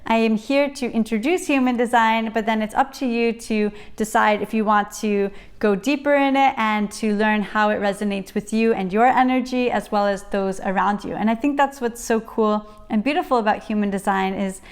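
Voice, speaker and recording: A young woman talking straight to her camera at a desk, with a slightly high voice. She is chatty and enthusiastic, and she often wanders off and interrupts herself mid-thought. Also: normal speed, few pauses. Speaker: YouTuber sharing her channel story. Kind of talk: casual talk to camera. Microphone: camera at desk, reading notes off a computer.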